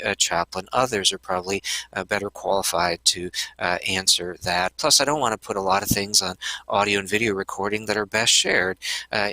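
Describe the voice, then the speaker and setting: Man with a sharp, forceful voice. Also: lowish voice, dry recording, rough recording. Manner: earnest, with frequent hesitant fillers. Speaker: conference speaker. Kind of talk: conference talk.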